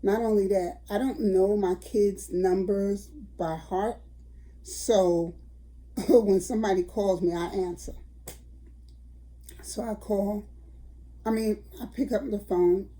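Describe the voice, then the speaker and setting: A woman's voice: medium pitch, steady tone, slow, long pauses, warm tone, low-quality recording. An older woman, telling a story to her viewers in a chatty vlog. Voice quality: raspy. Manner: chatty, addresses viewers as y'all. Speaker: vlogger.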